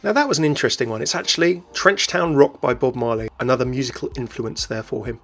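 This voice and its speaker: A man's voice: mid-range voice, fast, fairly bright tone. A man narrating a gaming commentary voice-over. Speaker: video creator, narrator. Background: faint music.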